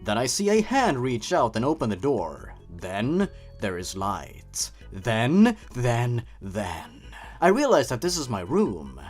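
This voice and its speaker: A man narrates with a highly animated, swooping delivery, like a show host reading a spooky tale aloud. His manner is theatrical and teasing, slipping between drama and dry commentary. Faint music plays behind him. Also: slow pace, hardly any pauses. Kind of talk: dramatic scripted story reading with comic commentary.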